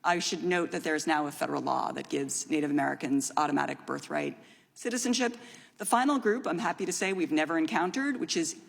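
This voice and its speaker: A woman's voice: low voice, fast, gravelly. A woman giving formal, assured testimony as an expert witness, explaining law with emphasis. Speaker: expert witness at a legislative hearing. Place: large indoor hearing room. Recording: reverberant hearing room.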